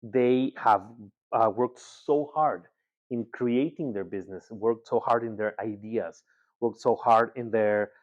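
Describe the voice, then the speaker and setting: Man from ecuador, presentation talk, mid pitch, filler words, dull lo-fi sound. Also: steady tone, measured pace. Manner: conversational, with filler words and repeats. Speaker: guest speaker, agency founder.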